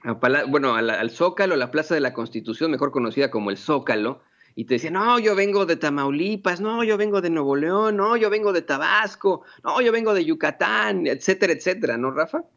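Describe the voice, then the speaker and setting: A man's voice: fairly high pitch, lively intonation, a little fast, fairly bright tone. A man with a Mexican Spanish accent co-hosting political commentary, rambling with many fillers and restarts. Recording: dull lo-fi sound.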